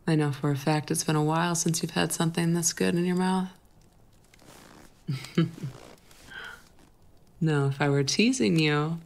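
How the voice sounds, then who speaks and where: A woman playing a playful, flirty, tender best friend with a crush in an intimate, halting ASMR roleplay. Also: low voice, creaky, exaggerated voice. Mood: playful, teasing. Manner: teasing, halting, giggly delivery. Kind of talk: intimate ASMR roleplay, acted one-sided conversation.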